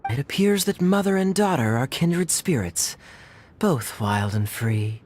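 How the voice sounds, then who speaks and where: A man playing a calm, dry, scholarly young man in a video game, with understated, formal delivery. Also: on the high side, a little slow, slight background noise. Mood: serene.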